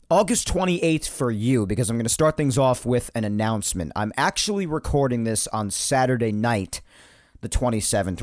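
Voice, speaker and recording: A man hosting his own sports podcast, chatty and rambling, his voice slightly hoarse from yelling earlier. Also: medium pitch, no echo, clean studio sound. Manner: animated, chatty, rambling asides. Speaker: podcast host. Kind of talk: solo sports podcast monologue.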